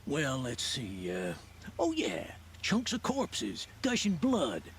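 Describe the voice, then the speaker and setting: An actor playing a rattled quarry worker in a video game, blunt, sarcastic and agitated. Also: male voice, medium pitch, huge pitch swings, takes it slowly, slight background noise, heavily acted. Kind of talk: video game character dialogue.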